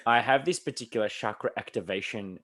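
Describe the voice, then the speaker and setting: A woman co-hosting a podcast in casual chat, joking and chatty, her voice lower than usual today. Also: brisk, a bit bright. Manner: chatty and joking, with playful asides. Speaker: podcast co-host.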